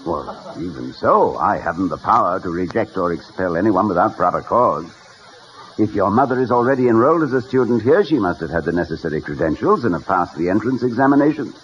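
Actor as a wordy, animated college president. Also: male voice, fairly low pitch, fairly quick, close to an everyday voice. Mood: measured, bemused. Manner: erudite, hesitant, gently witty. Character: courtly, wordy, good-humored male college president. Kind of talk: 1950s radio comedy acting. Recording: dull, hissy old radio recording.

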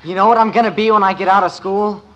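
Through heavy old-radio noise comes a man playing an American schoolboy with a high, youthful voice. He is excitable, boastful and insistent, in 1950s family sitcom style. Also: quick pace, lo-fi sound, close to an everyday voice. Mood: eager. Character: eager, boastful American schoolboy son, would-be inventor. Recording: very noisy old radio recording.

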